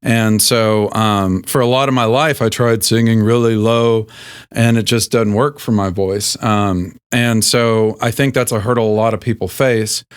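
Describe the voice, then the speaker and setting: Un hombre con voz grave al hablar, que presenta una lección informal de canto con tono relajado, muchas muletillas y un leve acento del sur de Estados Unidos. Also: male voice, unhurried, clean tone. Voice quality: deep speaking voice. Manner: relaxed, self-deprecating, with many fillers and restarts. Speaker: host. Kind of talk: casual singing lesson.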